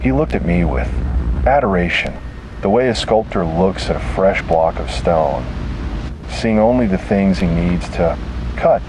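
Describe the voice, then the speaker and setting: A man reading a scripted horror story slowly and deliberately, with dramatic pauses. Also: deep voice, lively intonation, background noise.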